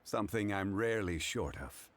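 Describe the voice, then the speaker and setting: An actor playing a composed, learned man who speaks formally and modestly in a measured way. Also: lowish voice, expressive, noisy background, close to an everyday voice. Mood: calm. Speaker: funeral parlor consultant. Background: game music.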